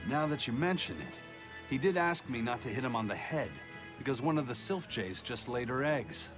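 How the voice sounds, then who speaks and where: A man playing a stern, proud pirate in an anime-style video game, gruff, measured and serious. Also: medium pitch, lively intonation, music in the background, lightly acted. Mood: wry storytelling. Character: stern, steady, proud male pirate first mate.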